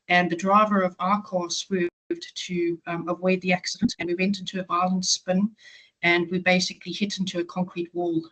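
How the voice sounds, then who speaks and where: A woman's voice: on the low side, a bit bright, rough recording. A woman in her forties with a South African accent, a patient advocate giving a matter-of-fact webinar talk over a video call, with frequent ums. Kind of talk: webinar presentation.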